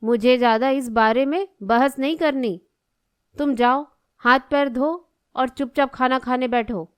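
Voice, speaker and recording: Woman doing a stern cartoon mom, high clear voice, bossy and resentful, argues her point. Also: a little slow, dry recording, studio quality. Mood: curt, dismissive. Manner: argumentative, insistent, bossy. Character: stern, resentful middle-aged mother who plays favourites. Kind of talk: Hindi cartoon story dialogue.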